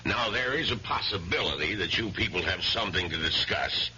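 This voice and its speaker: An actor playing a considerate older law firm boss, hesitant and businesslike, in an old-time radio mystery. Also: male voice, low-pitched, expressive, fairly quick. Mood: considerate, awkward. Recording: dull vintage radio recording with hum.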